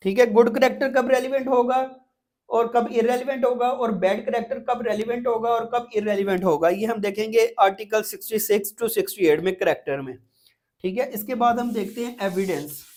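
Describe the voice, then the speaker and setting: A woman's voice: on the high side, a little fast, a little mellow. A woman with a Pakistani accent giving an informal lecture as an exam prep teacher, explaining step by step with frequent repetition and check-ins.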